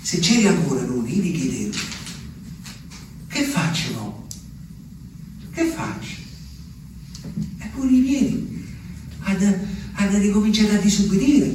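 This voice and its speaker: An actor playing a naive, lonely, bewildered country wanderer in a theatrical radio monologue, childlike, rambling and repetitive. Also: male voice, sits high. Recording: very echoey, distant, noisy ambience.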